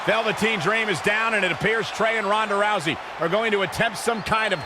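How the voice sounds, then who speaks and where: A man playing an excitable pro wrestling commentator in a video game, calling the action. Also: high voice, fairly quick, natural voice. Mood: urgent, excited. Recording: loud arena crowd and noise.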